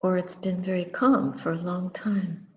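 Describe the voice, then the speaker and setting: A woman, a Buddhist meditation teacher, giving a guided reflection in a contemplative, measured manner, in short phrases with long pauses. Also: fairly low pitch, steady tone, a little mellow. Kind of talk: guided meditation and dharma talk. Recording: muffled recording with long reverberant echo.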